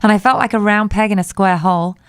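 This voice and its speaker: A woman's voice: medium pitch, fairly even intonation, fast, clear, resonant tone, no echo. A woman singer being interviewed on the radio, reflective and telling anecdotes in a conversational way. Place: radio studio.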